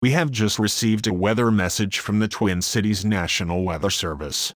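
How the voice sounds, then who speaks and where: A man's voice: fairly low pitch, normal speed, no room sound, clean studio sound, lightly acted. A man playing a news anchor covering a disaster live. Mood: earnest.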